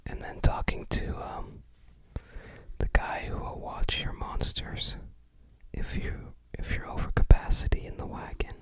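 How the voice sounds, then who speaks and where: ASMR creator, whispered voice, unhurried, hesitant, musing commentary. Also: low-quality recording.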